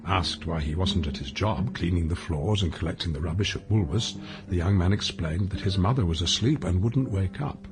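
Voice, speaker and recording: A man as the narrator of a radio play, telling a bleak life story in a deliberate, matter-of-fact, sober way. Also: low voice, lightly acted. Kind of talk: scripted radio drama narration.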